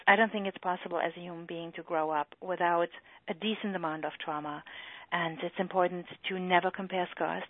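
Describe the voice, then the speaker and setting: A middle-aged woman with a German accent talks earnestly, often halting on fillers as she explains her work. Her voice sits fairly low, and the sound comes through dry and thin, like a phone line. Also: no room sound. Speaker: EFT practitioner and trainer. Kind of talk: talk on a recorded group call. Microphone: phone or call line. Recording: tinny phone-line call recording.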